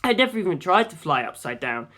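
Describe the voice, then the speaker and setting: A man's voice: high voice, very animated, fast talker, pushed and bright. A man reading a first-person story aloud as an audiobook narrator.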